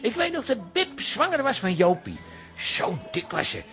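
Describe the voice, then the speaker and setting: An actor playing a fussy, opinionated older hairdresser telling anecdotes emphatically in a radio comedy-drama. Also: male voice, sits high, huge pitch swings, moderate pace, pushed and bright, exaggerated voice. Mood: droll, opinionated. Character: fussy, opinionated old hairdresser and proud father.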